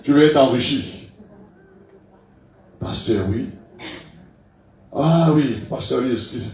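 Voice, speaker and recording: A man's voice: medium pitch, slow pace, a little mellow. A middle-aged pastor with a cold making chatty announcements to his congregation, often adding "hein" and hesitating. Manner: chatty, peppers speech with "hein", hesitant fillers. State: has a cold. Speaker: pastor leading the church meeting. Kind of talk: church announcements. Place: church hall. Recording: very echoey hall from far off.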